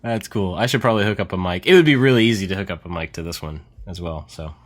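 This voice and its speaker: Low-voiced, enthusiastic male podcast host. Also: quick pace. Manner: enthusiastic, self-deprecating, laughs often. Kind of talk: casual chat.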